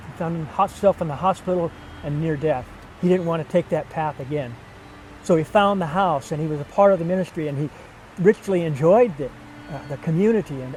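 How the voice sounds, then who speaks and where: A man speaking earnestly and in measured phrases, giving a personal testimony as a missionary. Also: fairly high pitch, warm tone, slight background noise, low-quality recording. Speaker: missionary couple member seeking support. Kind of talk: documentary-style personal testimony and appeal. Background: background music.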